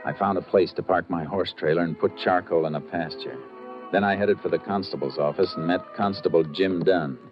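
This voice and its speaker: Man acting a low-voiced, terse Texas Ranger. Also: brisk, over loud music, natural voice. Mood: calm. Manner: terse, matter-of-fact, firm. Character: steady, businesslike male Texas Ranger investigator and narrator. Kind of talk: 1950s radio drama acting and narration. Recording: muffled, noisy 1950 radio recording.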